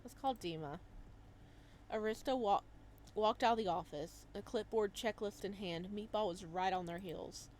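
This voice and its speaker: A woman reading a visual novel aloud as a let's play host, reacting to the story in an animated way. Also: mid-range voice, moderate pace, a little hiss or hum. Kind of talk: game commentary mixed with read-aloud narration.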